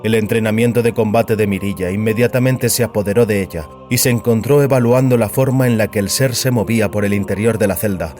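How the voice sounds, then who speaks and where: Guy narrating a sci-fi audiobook, fairly low voice, music playing behind. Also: mellow.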